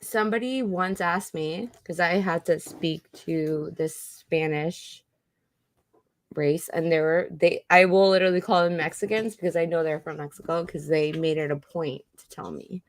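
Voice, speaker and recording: A woman's voice: fairly low pitch, normal speed, dry recording. A woman from Texas co-hosting a casual talk show, a chatty storyteller who laughs often. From Texas, USA. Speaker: co-host. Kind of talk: casual chat.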